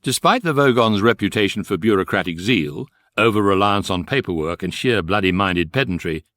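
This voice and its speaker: An actor playing a wry, erudite guidebook narrator in a sci-fi radio comedy. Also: male voice, on the low side, fairly quick, no room sound. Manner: wry, deadpan irony.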